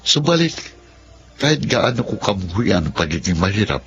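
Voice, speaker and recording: A man's voice: fairly low pitch, sing-song, normal speed. An actor playing an ambitious, proud young man in a Filipino radio drama. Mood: bitter, reflective. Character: ambitious, bitter young man, smooth generous show-off. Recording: dull band-limited sound, music behind.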